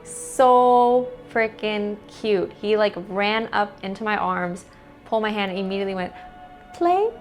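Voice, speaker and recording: A woman's voice: mid-range voice, measured pace, faint music behind. A young American woman answering interview questions in a casual, chatty way, with lots of "like" fillers. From United States. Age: young adult.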